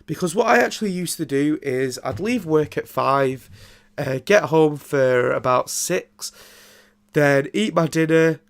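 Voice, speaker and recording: A man's voice: fairly high pitch, lively intonation, measured pace, creaky. A young man, congested and nasal from a throat infection, hosting a casual solo podcast and rambling with many ums and restarts. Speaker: podcast host.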